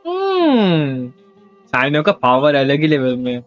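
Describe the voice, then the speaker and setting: Fast-talking Indian male streamer, dull mic. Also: fairly high pitch, wildly swooping pitch. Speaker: live streamer. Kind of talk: casual gameplay commentary, Hindi-English mix. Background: faint game music.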